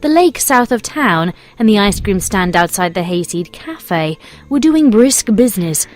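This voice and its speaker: An Englishwoman reading scripted storybook narration in a measured, expressive storytelling manner. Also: medium pitch. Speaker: fan fiction reader and narrator. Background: music.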